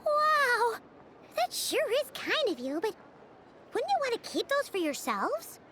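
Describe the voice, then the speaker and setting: Tiny, very squeaky childlike fairy companion. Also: female voice, big pitch swings, a little slow, sharp tone, slight background noise, full character voice. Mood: excited. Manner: exclamatory, chirpy, speaks of herself in third person. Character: small excitable childlike female fairy companion, chatty, food-loving. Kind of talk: video game character acting.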